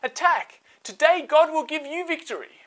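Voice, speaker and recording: A woman's voice: high voice, expressive, normal speed, pitched above the performer's own voice. A woman voicing a commanding ancient woman judge in a children's Bible story, declaiming orders with authority. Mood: urgent, rousing.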